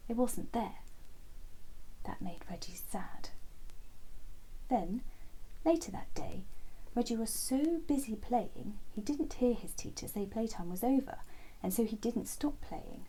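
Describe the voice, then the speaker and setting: A woman narrating a children's bedtime story as storyteller, gently and expressively, with soft, breathy phrase endings. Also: medium pitch, a little hiss or hum, rough recording.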